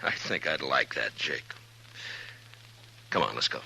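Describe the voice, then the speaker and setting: An American actor playing a steady, authoritative western marshal in 1950s radio drama, speaking tersely and with command. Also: male voice, extremely low pitch, measured pace, gravelly, full character voice. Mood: calm, easy. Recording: noisy old radio drama recording.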